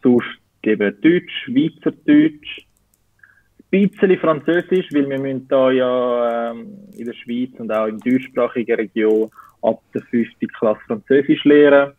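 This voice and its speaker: Slow-talking Zurich man on a tinny call. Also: medium pitch, no room sound. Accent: Zurich Swiss German. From Switzerland. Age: 20s. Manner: slow, searching for words. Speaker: interview guest. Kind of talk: casual interview answers. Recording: tinny phone-like call audio.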